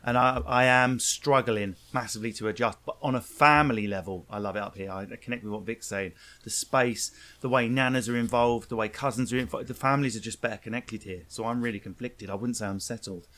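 A man's voice: mid-range voice, a little fast, hardly any pauses. A man with an Essex accent hosting a podcast, chatting casually with guests and swearing freely. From England. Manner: loud laughing, swearing, playful put-on voices. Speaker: podcast host. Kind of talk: casual interview chat.

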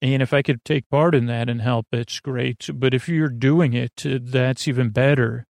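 A man with a creaky voice hosting a bedtime podcast, rambling soothingly and haltingly to the listener with meandering self-corrections. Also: medium pitch, fairly even intonation, a little mellow, dry recording. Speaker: podcast host. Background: music.

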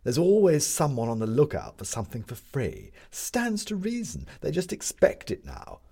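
A man voicing a smug young gentleman who lectures dismissively over breakfast. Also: a little high, wildly swooping pitch, moderate pace, vocal fry, husky, voice pushed up, exaggerated voice. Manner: dismissive, preachy.

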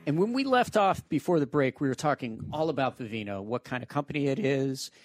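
A man's voice: mid-range voice, no echo, clean studio sound. A man hosting a business radio interview, asking conversational questions and reading break announcements. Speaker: radio show host, venture investor.

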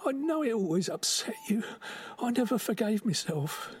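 A man playing a dying elderly grandfather in an audio drama, tender and wry, with soft sighs and halting speech. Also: high-pitched, big pitch swings, background noise. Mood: guilty, regretful. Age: older.

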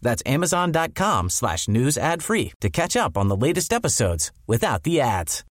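A man's voice: mid-range voice, very animated, moderate pace, slight background noise. A man reading a scripted ad in an upbeat, punchy way. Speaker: ad announcer. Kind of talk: scripted ad read.

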